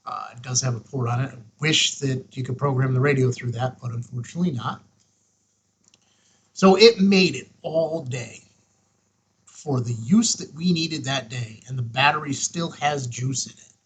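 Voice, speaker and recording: A man's voice: mid-range voice, moderate pace, slightly rough, low-quality recording. A man hosting a casual hands-on product review, talking in a folksy, emphatic way with short punchy phrases. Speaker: host of a ham radio review show.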